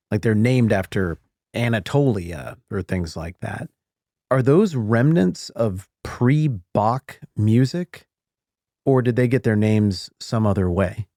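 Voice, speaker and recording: A man's voice: lowish voice, dark tone, dry recording. A man hosting a podcast, asking thoughtful, searching questions into a close mic. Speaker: podcast host. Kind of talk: casual podcast chat.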